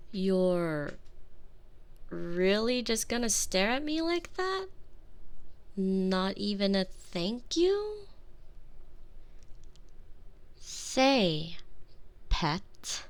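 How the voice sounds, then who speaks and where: A woman's voice: high voice, fairly bright tone, full character voice. A woman playing a sweetly doting but obsessive, volatile girlfriend in an intimate, close-miked ASMR roleplay. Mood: wounded, cold. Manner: intimate, cooing, often dropping into breathy whispers. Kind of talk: scripted ASMR roleplay acting. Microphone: close binaural microphone.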